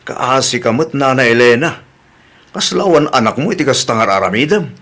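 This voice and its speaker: A man's voice: mid-range voice, expressive, moderate pace, a bit bright. A man with an Ilocano accent acting a meddling, nagging village neighbour and husband in a radio drama. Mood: reproachful.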